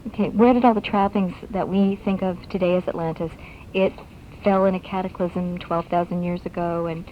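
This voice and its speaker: A woman's voice: on the low side, steady tone, moderate pace. A woman hosting a radio interview, thinking aloud as she asks inquisitive, piled-up questions in a conversational way. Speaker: radio show host interviewing a guest. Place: radio broadcast, taped off air. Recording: muffled, noisy shortwave radio broadcast on cassette.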